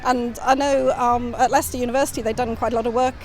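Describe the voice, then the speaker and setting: A woman's voice: fairly high pitch, little pitch movement, quick pace, darkish tone, faint music behind. A woman deputy head teacher speaking in a documentary interview, explaining her views earnestly and fluently.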